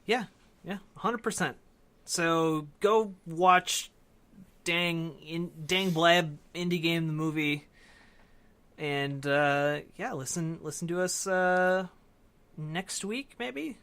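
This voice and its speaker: A man's voice: a little high, fairly bright tone, clear, resonant tone. A man co-hosting a podcast, discussing films casually and often stopping to correct himself mid-thought. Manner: halting, self-correcting speech. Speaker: podcast co-host.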